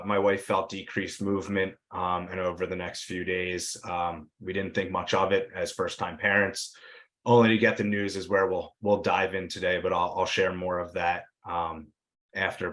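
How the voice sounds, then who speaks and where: A man from Philadelphia opening a seminar, soft-spoken and hesitant, with many fillers, heard over a video call. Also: low voice. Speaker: seminar presenter.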